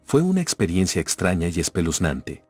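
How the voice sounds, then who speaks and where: Man, low-pitched voice, measured ominous horror narration, dry close recording, faint-to-clear music underneath. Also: wildly swooping pitch, a little mellow, dry recording. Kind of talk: scripted horror story narration. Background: background music.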